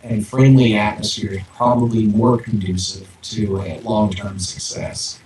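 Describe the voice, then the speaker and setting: A man co-hosting a podcast in casual chat, speaking in a hesitant, halting way. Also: on the low side, fairly even intonation, a crowd in the background. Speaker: podcast co-host. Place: echoey room on online call. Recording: echoey, distant, noisy remote audio.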